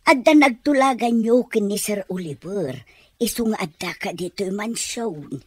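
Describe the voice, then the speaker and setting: A woman playing an older, plain-spoken, teasing housemaid with a husky voice in an Ilocano radio drama. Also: mid-range voice, expressive, unhurried. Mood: teasing, grumbling.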